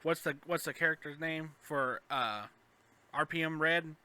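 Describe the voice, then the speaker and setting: A man's voice: fairly high pitch, unhurried, bright, forceful tone, clear, resonant tone. A man co-hosting a podcast in casual fan chat. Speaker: podcast co-host.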